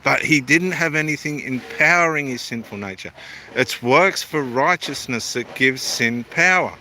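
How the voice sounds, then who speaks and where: Australian man, middle-aged, bright tone, homely rambling vlog talk. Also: medium pitch, animated, moderate pace, slight background noise, poor audio. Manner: meandering, self-deprecating, homely. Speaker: evangelist theologian vlogger. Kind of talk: casual rambling talk to camera.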